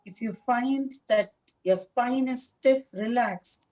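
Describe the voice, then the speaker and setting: A woman leading a guided meditation as a teacher, speaking in a calm, measured manner with long pauses between short phrases. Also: a little high. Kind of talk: guided meditation instruction, live session.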